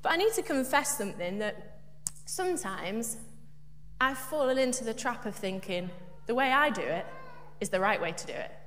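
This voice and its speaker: A woman's voice: on the high side, expressive, moderate pace, pauses often. A woman preaching a light, humorous sermon in church, chatty and self-deprecating. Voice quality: big loud voice. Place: church hall. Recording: echoey church hall, faint hum.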